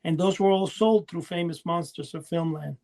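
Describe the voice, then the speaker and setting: A man with a noticeably high voice talks in a loose, conversational way, like a collector on a podcast. He rambles, doubling back and drifting away from his own point. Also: normal speed, crowd noise. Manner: rambling, often losing his thread. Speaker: podcast co-host. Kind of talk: casual chat about collecting.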